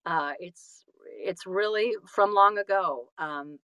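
A woman speaks as a guest in a casual interview. Her voice sits a little low and the sound is very dry. She is effusive, often breaking off and rephrasing as she goes. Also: a bit bright, smooth, no echo, highs cut off. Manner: effusive, halting and self-correcting. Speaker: interview guest, singer and audiobook narrator.

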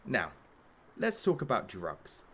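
A man's voice: medium pitch, huge pitch swings, takes it slowly, a little hiss or hum. Theatrical, wildly swooping sadistic showman. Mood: cruel, taunting. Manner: theatrical, mocking showman, taunting. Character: masked male kidnapper hosting a sadistic hostage talk show. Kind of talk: audio drama, parody talk show hosting.

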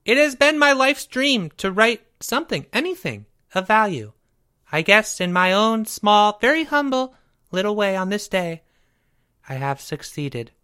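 Guy playing a high slow pompous little boy poet, bright close sound. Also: very animated, smooth, heavily acted. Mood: grandly earnest. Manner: high, slow, grandly formal. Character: shy schoolboy poet, earnest and pompous.

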